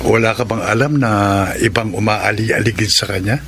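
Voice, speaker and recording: An actor playing a jealous older suitor in a radio drama, with a prying, roundabout way of speaking. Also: male voice, on the low side, fairly even intonation, normal speed, gravelly, background noise. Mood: probing, jealous. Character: jealous, probing older suitor called uncle.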